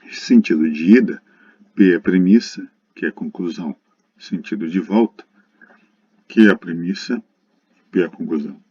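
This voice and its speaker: Low, husky Brazilian male lecturer. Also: moderate pace, long pauses, mellow. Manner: didactic, addresses students directly. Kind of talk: recorded math lesson, explanatory. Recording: dull lo-fi muffled recording.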